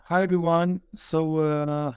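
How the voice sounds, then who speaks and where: A man's voice: a little high, little pitch movement, slow pace, clean tone, no echo. A man with a heavy foreign accent, a company co-founder, introducing himself on a panel in a businesslike way.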